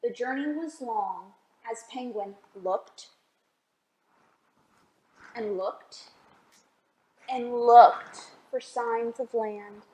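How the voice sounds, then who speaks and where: A woman reading a picture book aloud for a children's storytime, expressive and often asking the listeners questions. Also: a little high, very slow, long pauses, roomy sound, low-quality recording. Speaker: library storytime reader.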